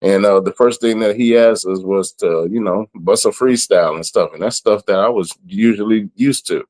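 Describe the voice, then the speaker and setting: A raspy-voiced man in his thirties from the southern United States, a rapper and event planner, talking in a relaxed, rambling way with lots of "you know". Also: fairly low pitch, normal speed. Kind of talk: casual interview.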